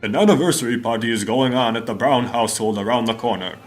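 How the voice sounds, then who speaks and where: A man's voice: medium pitch, fast talker, soft background music. A man delivering scripted narration as the announcer of a 1950s-style radio mystery.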